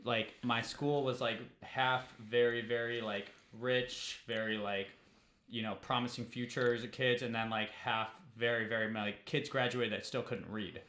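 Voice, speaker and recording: A man's voice: mid-range voice, flat delivery, a little slow, pushed and bright. A man hosting a podcast, chatting in a laid-back way with lots of fillers; he grew up on the west side of Chicago. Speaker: podcast host. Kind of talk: casual chat.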